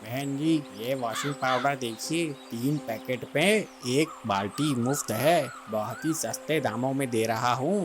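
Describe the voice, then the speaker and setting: A woman's voice: rumbling low, unhurried, few pauses, background noise, pitched below the performer's own voice. A woman voicing a pushy male crow who hawks washing powder in a sing-song street-seller way. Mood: persuasive. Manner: salesman's sing-song hawking. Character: pushy male crow peddler, loud hawker.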